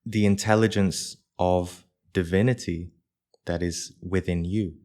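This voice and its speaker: A man leading a live guided meditation for a group as its teacher, speaking in measured short phrases with pauses between them. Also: deep voice, fairly even intonation, a little mellow.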